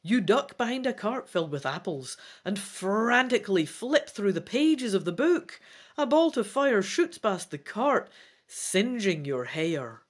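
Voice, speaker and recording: A woman's voice: medium pitch, very animated, measured pace. A woman hosting a gamebook playthrough, reading dramatically and adding informal, self-deprecating asides. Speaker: host of a gamebook let's play.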